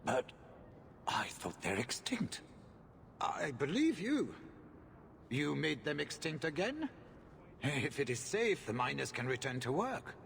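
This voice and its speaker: An actor playing a shrewd, smooth-talking mine owner in video game cutscene dialogue. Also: male voice, fairly high pitch, huge pitch swings, slow, pauses often, fairly bright tone, slight background noise, heavily acted. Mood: businesslike. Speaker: game character.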